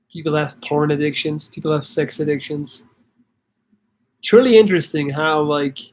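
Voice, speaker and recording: A man from Belgium talks in a loose, rambling way as he answers viewers, full of "like" and "man" and quick restarts. His pitch sits a little higher than usual for a man. A faint noise runs under the recording. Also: rough recording. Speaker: livestream host answering viewer questions. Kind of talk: casual chat reading comments.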